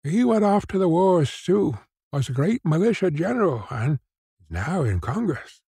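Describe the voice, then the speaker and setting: A man's voice: on the high side, very animated, normal speed, dark tone, husky, no echo, studio quality, pitched above the performer's own voice, exaggerated voice. A man voicing a gossiping villager who answers offhand and exclaims in a storybook reading. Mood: offhand, gossipy.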